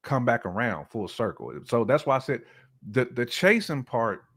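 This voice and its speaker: A man's voice: medium pitch, expressive, moderate pace, fairly bright tone, husky. A man giving his opinions in casual, colloquial conversation, often acting out imagined dialogue as he goes. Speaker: podcast guest or co-host.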